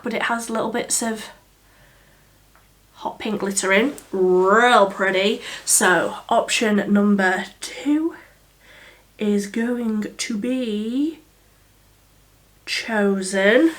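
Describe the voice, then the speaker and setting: Slow-talking, slightly croaky young woman. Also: a little high. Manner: chatty, easygoing, thinking aloud. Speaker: beauty vlogger. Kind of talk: casual makeup tutorial chat.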